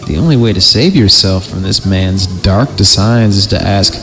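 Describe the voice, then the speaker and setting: A man's voice: sits low, moderate pace, a bit bright, soft background music. A man hosting a horror story podcast, narrating theatrically and breaking off for joking asides when he stumbles. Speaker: podcast host reading horror stories.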